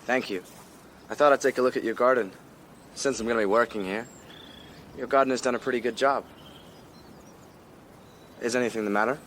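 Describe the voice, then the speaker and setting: An actor playing a polite, anxious eighteen-year-old American runaway in a radio drama, with an American accent. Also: male voice, mid-range voice, brisk, pauses often, fairly bright tone, a little hiss or hum, close to an everyday voice. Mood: polite, subdued. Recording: faint background ambience.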